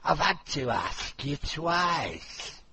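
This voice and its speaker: A man voicing a terse, offhand carpenter in a storybook poem reading, with dry, flat remarks. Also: fairly high pitch, extremely slow, heavy background noise, pitched above the performer's own voice, heavily acted. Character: gruff indifferent male carpenter, terse.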